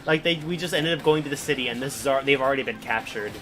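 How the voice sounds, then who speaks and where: A man's voice: medium pitch, fast, a bit bright, slight background noise. A young man streaming a video game, rambling casually with lots of fillers and "like". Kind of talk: casual live game commentary. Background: game music.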